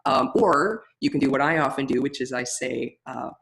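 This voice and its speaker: A woman with a big, loud voice teaching in a webinar, talking through ideas in a chatty way with frequent restarts. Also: very deep voice, moderate pace, creaky. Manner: chatty, many restarts and fillers. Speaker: ritual facilitation teacher. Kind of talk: webinar teaching, answering a question.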